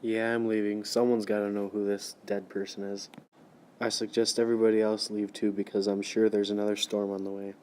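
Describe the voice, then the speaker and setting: A man's voice: fairly low pitch, little pitch movement, fairly quick, darkish tone, close to an everyday voice. A man playing a commanding wilderness officer who is secretly a cold killer, in an amateur radio play. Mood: urgent, commanding. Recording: noisy amateur recording with background ambience.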